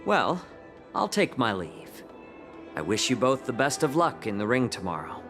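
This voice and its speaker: A man playing a courteous, wry healer in a video game, speaking in a measured, polite way. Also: mid-range voice, very animated, music in the background, close to an everyday voice. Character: urbane adult male healer, courteous and wry.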